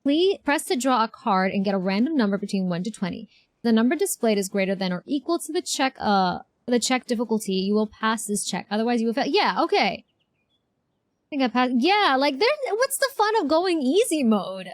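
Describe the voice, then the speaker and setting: A woman's voice: a little high, sing-song, moderate pace, dry recording. A woman streamer giving casual game reaction commentary, chatty and thinking aloud. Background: faint game music.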